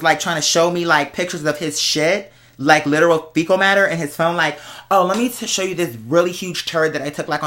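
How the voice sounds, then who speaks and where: A speaker chatting casually to viewers as host, telling stories in a sassy, slangy, exclamatory way with drawn-out emphasis. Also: medium pitch, bright, forceful tone. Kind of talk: casual storytelling chat to viewers.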